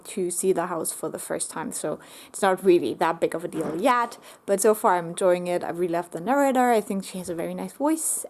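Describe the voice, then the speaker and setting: A woman chatting casually in a vlog as a book vlogger, relaxed and talkative, often filling pauses with "um" and "like". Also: fairly low pitch.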